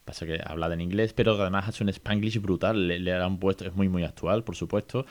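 A man hosting an educational podcast, chatty and relaxed, often asking his listeners questions. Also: deep voice, brisk. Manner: chatty, many fillers, asks listeners questions. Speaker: podcast host. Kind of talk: casual educational podcast talk.

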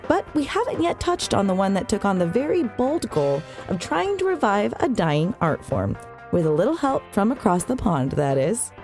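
A woman's voice: mid-range voice, big pitch swings, moderate pace, darkish tone, faint music behind. A woman hosting a podcast, reading scripted narration with wry asides into a close microphone. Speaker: podcast host. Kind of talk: scripted narration of science fiction history.

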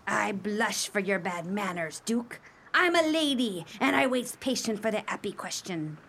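A brassy, aging pirates' barmaid who flirts shamelessly. Her raspy, forceful voice swoops up and down in broad dialect, in a comic radio play style. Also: mid-range voice, very animated, measured pace, heavily acted. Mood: wheedling.

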